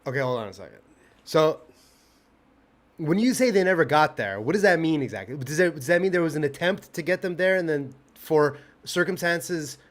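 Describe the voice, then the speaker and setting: A man on a casual podcast, a touch higher-pitched than most men. He swears constantly and jumps on his co-host with animated, teasing jabs, laughing between lines. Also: moderate pace. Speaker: podcast guest.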